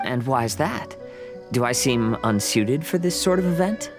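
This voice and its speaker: An actor voicing a calm, collected young male wanderer in a video game, gentle and poetic. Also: medium pitch, measured pace. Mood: calm, amused. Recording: loud background music.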